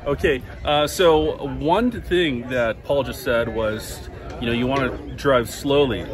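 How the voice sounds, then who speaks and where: A man in his thirties in a casual, halting way, talking into a phone at arm's length outdoors at an expo. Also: mid-range voice. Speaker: vlogger interviewer. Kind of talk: casual interview. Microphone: phone held at arm's length. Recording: busy crowd noise behind.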